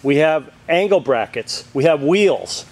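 Male auctioneer, slightly raised pitch, reels off item lists on a camera mic in a warehouse. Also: lively intonation, a little slow, noisy background. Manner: rattles off long lists of items. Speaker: auctioneer promoting a sale. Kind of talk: walk-through sales promo.

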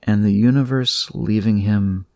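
A man's voice: fairly low pitch, unhurried, dark tone, dry recording, studio quality. A man narrating scripted horror fiction as a storyteller.

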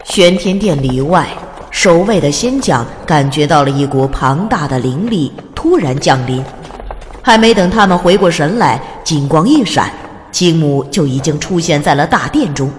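Low-voiced woman narrating fantasy, very expressive. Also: normal speed, big-room sound, slight background noise. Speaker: audiobook narrator. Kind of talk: dramatic scripted fantasy narration.

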